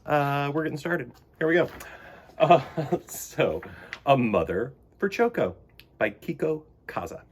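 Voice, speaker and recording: A man hosting a children's storytime, reading and talking in a theatrical, expressive way. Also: a little high, a little slow, slight background noise. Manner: theatrical, exclamatory.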